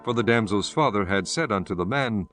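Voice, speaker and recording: A man's voice: lowish voice, expressive, fairly quick, a bit bright, faint music behind. A man giving a solemn, formal scripted narration of scripture as the narrator.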